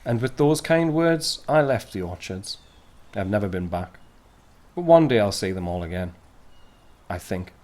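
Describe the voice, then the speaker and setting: A man plays a young fallen soldier in a 1919 radio play, watching his family unseen. His voice is on the low side, with a wistful storyteller's manner. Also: lively intonation, measured pace, long pauses, a little mellow. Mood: wistful, gentle. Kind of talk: audio drama narration and acting.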